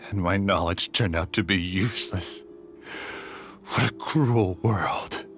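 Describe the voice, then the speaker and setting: A bitter, grief-stricken scholar from a video game, talks slowly and dramatically. He sounds obsessive and despairing, over a bed of music. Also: sits low, a bit bright. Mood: hopeless, bitter. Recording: music behind the voice.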